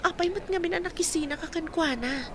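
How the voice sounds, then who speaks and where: Woman acting earnest young woman, high voice. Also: a little fast, background noise. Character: earnest, polite young working woman, wrongly blamed. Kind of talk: audio drama acting.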